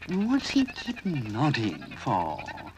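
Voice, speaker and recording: A man's voice: mid-range voice, huge pitch swings, drawn-out words, noisy background, voice pushed up, heavily acted. A man voicing a haughty female toy cat who drawls in a superior way. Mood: snooty.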